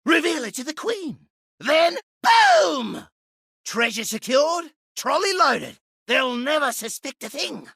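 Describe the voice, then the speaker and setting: A rowdy, boastful treasure thief with a raspy, gravelly, highish voice that leaps around in pitch. The delivery is theatrical and gleeful, a scheming rogue in a video game. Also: female voice, wildly swooping pitch, slow pace, fairly bright tone, no echo, clean studio sound, heavily acted.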